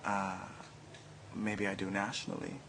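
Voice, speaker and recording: A man from Barbados, an artist, reflecting in a measured, searching way. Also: fairly low pitch, monotone, noisy background. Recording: faint background ambience.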